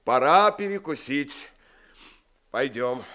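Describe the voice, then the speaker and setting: An actor playing a plainspoken, kindhearted truck driver in an old Soviet radio play. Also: male voice, medium pitch, animated, slow pace, sharp tone. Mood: gruff. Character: kindhearted middle-aged truck driver, blunt. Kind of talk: 1970s Soviet radio drama acting.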